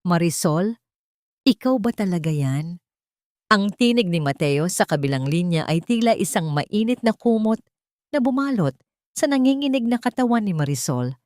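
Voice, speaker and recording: A woman's voice: medium pitch, sing-song, moderate pace, a little mellow, dry recording, clean studio sound. A woman reading scripted, dramatic story narration.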